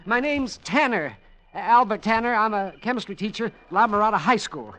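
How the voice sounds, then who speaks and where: Excitable high-voiced nervous schoolteacher. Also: male voice, moderate pace. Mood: eager, deferential. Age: middle-aged. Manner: flustered, stammering, deferential. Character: henpecked, eager, nervous amateur-scientist schoolteacher husband. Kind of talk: 1940s-50s radio drama acting. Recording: noisy, crackly vintage radio recording.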